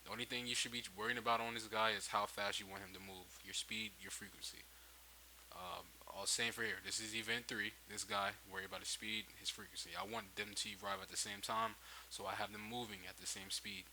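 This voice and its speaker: A man's voice: on the low side, flat delivery, fairly quick, bright, forceful tone, low-quality recording. A man casually narrating a screen-recorded tutorial, thinking aloud in an informal way on a hissy home microphone. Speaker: game-making tutorial host.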